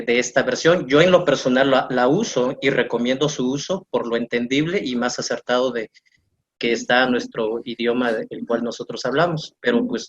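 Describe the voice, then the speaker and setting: A man's voice: mid-range voice, muffled recording. A man taking part in a class, politely and somewhat hesitantly putting a question to the teacher. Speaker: class participant.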